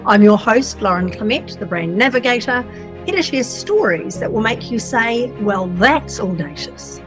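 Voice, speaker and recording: An upbeat woman hosts a podcast, a little higher than most women. She gushes over her guest and moves briskly from story to question. Faint music plays under her voice. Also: lively intonation. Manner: enthusiastic, effusive praise. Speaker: podcast host. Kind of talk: podcast interview.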